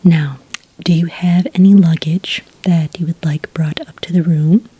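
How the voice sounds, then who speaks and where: A friendly witch desk clerk, played by a woman in an ASMR roleplay. Her voice is very breathy and whispered, with a dark, mellow softness. She takes her time, moving slowly through polite, slightly hesitant check-in patter. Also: lowish voice, fairly even intonation, poor audio. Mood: calmly helpful. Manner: unhurried, polite, hesitant customer-service patter.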